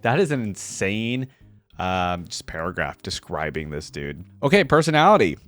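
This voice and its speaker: A man's voice: low-pitched, big pitch swings, normal speed, a bit bright. A young man in a casual, informal reaction-video style, commenting and reading text aloud on a close microphone. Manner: informal, slangy.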